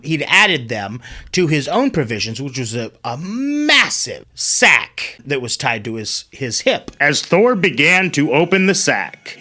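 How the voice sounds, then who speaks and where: Guy telling stories, really animated, bright forceful voice, dramatic but casual, faint music behind. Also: a little high. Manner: dramatic phrasing, conversational commentary. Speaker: host and storyteller. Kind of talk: storytelling narration with casual asides.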